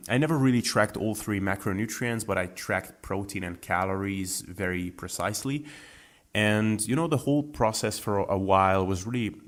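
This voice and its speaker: Deep-voiced man chatting as a guest. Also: moderate pace. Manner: relaxed, chatty, with fillers and restarts. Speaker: podcast guest. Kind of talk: casual interview talk.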